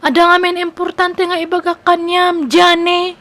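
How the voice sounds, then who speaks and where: An actress playing a discontented, whiny young wife and daughter in an Ilocano radio comedy drama, speaking in a plaintive, dramatic way. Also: female voice, very high voice, completely flat delivery, normal speed, fairly bright tone, slight background noise. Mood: coy, subdued. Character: discontented wife, whiny daughter leaving her sick husband.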